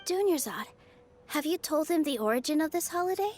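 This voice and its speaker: Cheery young dancer girl from a game, high voice, super earnest, music softly behind. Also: fairly quick. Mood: storytelling, warm. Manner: expressive, earnest, eager. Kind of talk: video game character acting.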